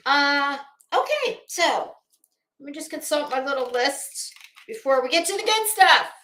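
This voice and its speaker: A middle-aged woman hosting a casual livestream, chatting to viewers in a rambling, expressive way with self-deprecating asides. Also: sits high, measured pace. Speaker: livestream host. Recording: roomy, echoey indoor sound.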